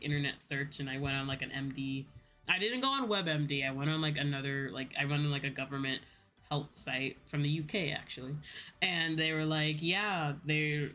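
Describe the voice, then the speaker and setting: An American woman talks casually on a livestream in a fairly low-pitched but bright, forward voice. She rambles through her stories in an animated way, voicing the other sides of conversations. Faint music plays behind her. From United States. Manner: animated storytelling, acting out reported dialogue. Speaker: VTuber livestreamer. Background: background music.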